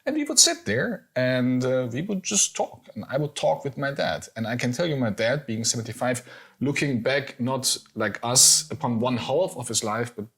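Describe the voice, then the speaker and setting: A man's voice: mid-range voice, lively intonation, normal speed, a bit bright, husky. A German man in his forties, speaking English with a German accent, chatting casually as podcast co-host, hesitant with many fillers and restarts. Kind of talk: casual conversation.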